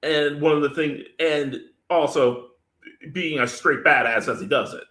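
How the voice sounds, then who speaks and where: A man's voice: on the high side, measured pace, a bit bright, roomy sound. A man in his thirties chatting casually as a podcast co-host, enthusiastic and emphatic. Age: 30s. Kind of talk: casual chat.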